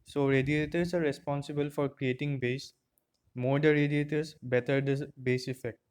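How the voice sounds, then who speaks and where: Clear, even-toned man narrating a review. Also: on the high side, normal speed. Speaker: product reviewer. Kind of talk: scripted unboxing and review narration. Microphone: earphone microphone.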